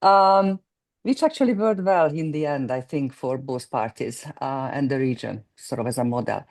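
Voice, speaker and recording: A woman in her sixties, a guest economist speaking in a halting way as she searches for words. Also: extremely low pitch, darkish tone. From Hungary. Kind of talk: conversational interview.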